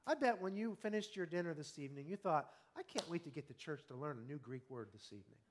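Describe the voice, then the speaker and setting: A man in his fifties preaching an evening sermon, earnest and conversational, often asking the congregation questions and slowing down on key words. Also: on the high side, expressive. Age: 50s. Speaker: pastor.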